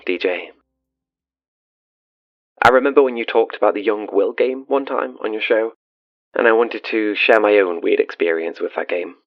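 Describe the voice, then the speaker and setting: A man's voice: on the low side, no room sound, lo-fi sound. A man playing an awkward, earnest, haunted radio caller making a hesitant confession in an audio drama. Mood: embarrassed, tentative. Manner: hesitant, self-deprecating, earnest.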